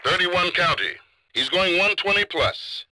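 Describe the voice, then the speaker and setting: Intense, sharp-voiced patrol cop. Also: male voice, high voice, expressive, measured pace, a little hiss or hum, heavily acted, rough recording. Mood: urgent. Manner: clipped, urgent. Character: intense, urgent male highway patrol officer. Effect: police radio. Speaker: police officer. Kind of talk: video game radio chatter.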